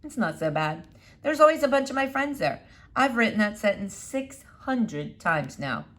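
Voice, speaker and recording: A woman's voice: mid-range voice, very animated, normal speed, fairly bright tone. A woman voicing an easygoing, cheeky schoolboy in a children's storybook read-aloud, casual and matter-of-fact. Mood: casual, teasing.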